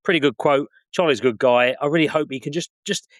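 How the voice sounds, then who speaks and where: A man's voice: fairly high pitch, fast, no room sound. Upbeat man co-hosting. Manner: friendly, upbeat, says mate often. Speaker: podcast co-host. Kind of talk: casual chat, reading news.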